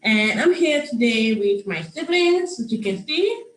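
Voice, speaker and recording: A young woman from Nigeria, a public health student, reading a rhyming children's book aloud over a video call in a steady, friendly way. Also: fairly high pitch, measured pace. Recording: roomy video-call audio with faint hiss.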